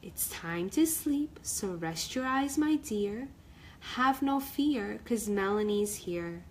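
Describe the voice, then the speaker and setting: A woman voicing a gentle storybook sleep fairy with a soothing, lullaby-like delivery. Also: medium pitch, animated, slight background noise. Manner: soothing, slow sing-song.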